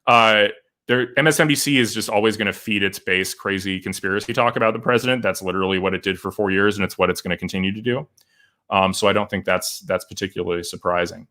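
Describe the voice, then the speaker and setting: Guy on a livestream going over law stuff and reading comments, kinda low voice but sharp and bright, lots of um and stop-start. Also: fairly even intonation. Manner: halting, many restarts and fillers, thinking aloud. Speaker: lawyer and show host.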